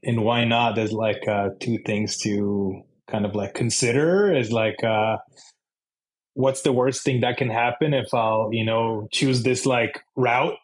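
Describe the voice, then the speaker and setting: A man with an Israeli accent giving casual interview answers as a guest, in halting, loosely phrased speech. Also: mid-range voice, steady tone, poor audio. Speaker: interview guest, bike shop owner.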